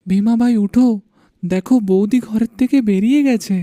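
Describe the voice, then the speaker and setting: An actor playing a bold, loyal village man in an animated folk tale, with a conspiratorial, urging manner. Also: medium pitch, moderate pace, dry recording, studio quality, lightly acted. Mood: urgent.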